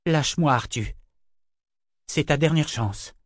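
A man's voice: fairly high pitch, normal speed, no room sound, clean studio sound, pitched above the performer's own voice, natural voice. A man voicing a stern, commanding, defiant young woman ship's lieutenant in an audiobook, with a curt delivery. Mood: firm, controlled. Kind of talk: audiobook character voice.

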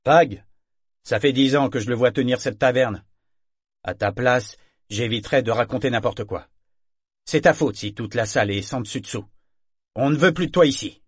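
Homme de taverne bourru joué par le lecteur, parle fort, réprimande sans ménagement, voix un peu grave. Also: male voice, fairly low pitch, fairly even intonation, moderate pace, dry recording, studio quality, natural voice. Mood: gruff. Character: gruff booted tavern man, blunt and scolding.

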